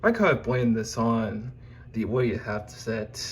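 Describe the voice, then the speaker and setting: A man presenting his collection in an informal, unscripted home video, speaking in halting, meandering fragments into a phone camera. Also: medium pitch, expressive. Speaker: YouTuber presenting own collection. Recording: clear background noise and room ambience.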